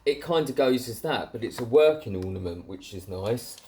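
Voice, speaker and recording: A man's voice: medium pitch, expressive, moderate pace, dark tone. A man with a southern English accent chatting casually and informally, like a hobbyist making an off-the-cuff vlog. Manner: chatty, informal British slang. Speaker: CB radio hobbyist vlogger.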